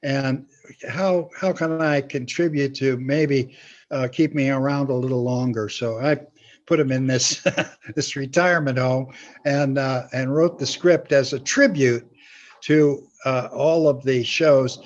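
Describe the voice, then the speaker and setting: An older man chatting casually as an interview guest, speaking haltingly with small chuckles. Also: mid-range voice. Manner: halting, chuckles while talking. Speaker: guest, comedy writer and teacher. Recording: slightly dull lo-fi sound.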